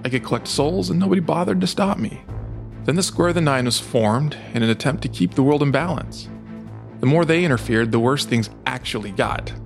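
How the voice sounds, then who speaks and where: A man's voice: mid-range voice, animated, fairly quick, vocal fry. A man voicing a scheming old demon bishop in a fantasy story, speaking in grand, contemptuous speeches. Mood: scornful, brooding. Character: scheming old demon bishop, soul collector, coolly menacing. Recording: music behind the voice.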